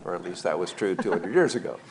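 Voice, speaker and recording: A man speaking as a panelist in a dry, measured, wry way. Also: sits low, lively intonation, a little hiss or hum. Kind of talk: panel discussion. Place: event hall before an audience.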